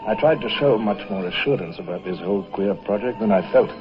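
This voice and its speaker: An actor playing a dry, resolute British naval commodore in an old-time radio drama, formal, clipped and authoritative. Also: male voice, fairly low pitch, a little fast, muffled recording, over music, lightly acted. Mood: reflective narration. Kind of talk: old-time radio drama acting and first-person narration.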